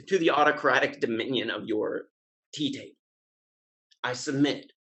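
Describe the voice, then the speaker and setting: Guy playing witty playboy gentleman in an old stage comedy, mid pitch, wry and mock formal. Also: unhurried. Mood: mock-formal, declaiming. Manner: wry, declamatory, mock-legal. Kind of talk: Restoration comedy stage dialogue.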